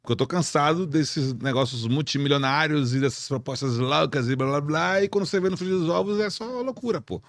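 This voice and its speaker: A man's voice: a little high, fairly quick, few pauses, no echo, clean studio sound. A man with a Bahian Brazilian accent hosting an informal podcast, speaking in a blunt, slangy, emphatic way. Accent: Bahian Brazilian Portuguese. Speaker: podcast host.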